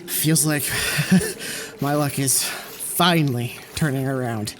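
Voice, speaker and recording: A man's voice: medium pitch, slow, a little hiss or hum, natural voice. An actor playing a tired, stranded male scientist who mutters wryly to himself. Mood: weary.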